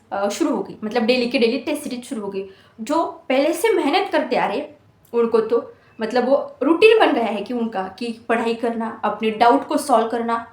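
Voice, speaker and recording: A woman's voice: on the high side, reverberant. A woman of twenty-one giving an earnest motivational talk to exam students, scolding them with rhetorical questions. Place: hostel room.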